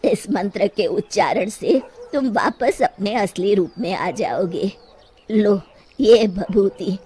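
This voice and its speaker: Woman voicing a slow, solemn holy sage. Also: mid-range voice, mellow, a little hiss or hum, voice pushed down, natural voice. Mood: solemn, instructive.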